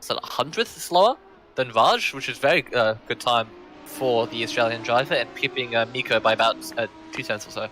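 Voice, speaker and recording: A man commentating an online sim race, easygoing and pausing often with fillers. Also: mid-range voice, faint music behind. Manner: relaxed, many filler pauses.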